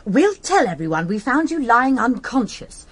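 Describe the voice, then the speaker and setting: A woman's voice: a little high, big pitch swings, normal speed. An eager young woman. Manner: eager, impulsive. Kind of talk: radio drama acting.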